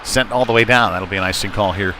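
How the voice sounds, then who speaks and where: Man calling hockey over clear rink noise. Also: on the low side, fast talker. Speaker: play-by-play hockey commentator. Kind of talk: live ice hockey commentary. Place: indoor ice rink. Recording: noisy live arena stream, rink ambience. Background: clear rink noise and ambience.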